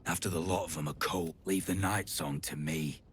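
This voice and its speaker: A man's voice: low voice, fairly even intonation, normal speed, slight background noise, close to an everyday voice. A man playing a cocky, ruthless mercenary leader in a fantasy video game, swaggering and colloquial. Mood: menacing.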